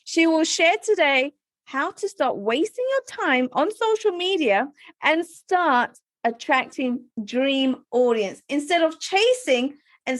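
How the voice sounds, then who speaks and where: A woman hosting a business podcast, upbeat and emphatic with quick asides. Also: sits high, no echo. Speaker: podcast host. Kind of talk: podcast interview.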